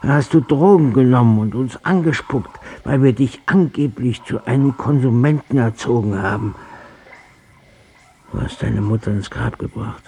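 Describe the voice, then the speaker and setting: A man's voice: medium pitch, moderate pace, gravelly, warm tone, a little hiss or hum, natural voice. An actor playing a bitter old father on his deathbed, reproaching his son in a radio drama. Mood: reproachful. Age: older.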